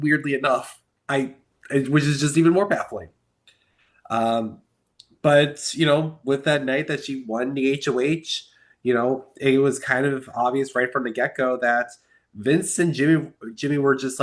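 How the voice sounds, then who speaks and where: A young man with a stuffy, congested voice from a cold hosts his own podcast. He rambles through his commentary and breaks into exasperated asides, his voice sitting at an ordinary middle pitch. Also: a bit bright. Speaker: podcast host. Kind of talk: solo recap commentary.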